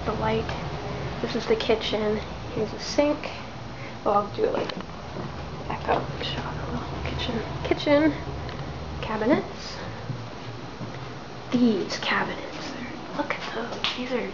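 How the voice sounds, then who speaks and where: A woman casually narrating a walkthrough of an abandoned house in a soft, half-whispered way, filmed on a handheld camera. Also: fairly high pitch, a little slow, pauses often. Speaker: urban explorer filming a tour. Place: empty abandoned row house, indoors. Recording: roomy, dull lo-fi camera audio with hiss.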